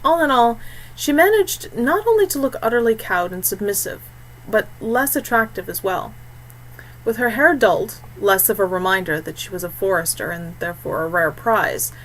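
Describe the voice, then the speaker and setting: A woman's voice: mid-range voice, big pitch swings, normal speed, slight background noise, low-quality recording. A woman reading scripted fiction as an audiobook narrator.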